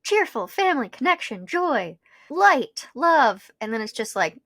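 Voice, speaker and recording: A woman's voice: high voice, sing-song, measured pace, pushed and bright, dry recording, studio quality. A young adult woman chatting casually as a podcast co-host.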